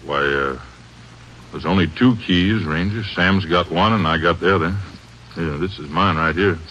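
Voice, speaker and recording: An American actor playing a weary, folksy small-town feed merchant in an old radio drama, talking plainly and a little hesitantly. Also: male voice, low-pitched, moderate pace, bright, forceful tone. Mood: folksy, uneasy. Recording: muffled old radio recording, faint noise.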